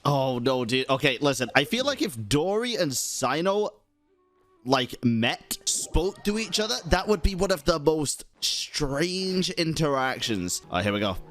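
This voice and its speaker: A man's voice: a little high, very animated, fairly bright tone, soft background music. Young guy streamer reacting casually. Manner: slangy, sweary, drawn-out reactions. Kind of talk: casual game reaction commentary.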